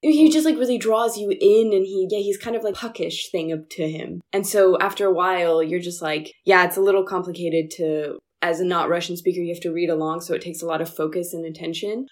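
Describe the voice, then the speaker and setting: A woman's voice: fairly low pitch, steady tone, fairly quick, few pauses, darkish tone. A woman chatting informally and candidly in a discussion. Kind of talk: casual chat about current affairs.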